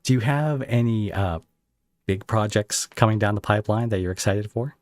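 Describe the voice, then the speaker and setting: A man hosting a casual, joking interview, talking in a halting, rambling and playful way into a close microphone. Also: fairly low pitch, no echo. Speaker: podcast host and interviewer; voice actor. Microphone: close microphone on an online call.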